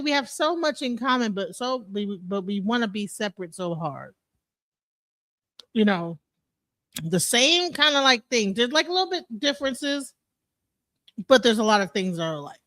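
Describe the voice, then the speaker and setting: A woman's voice: on the high side, pushed and bright, no echo. A woman with an American accent giving casual, amused and chatty reaction commentary. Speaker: reaction video host.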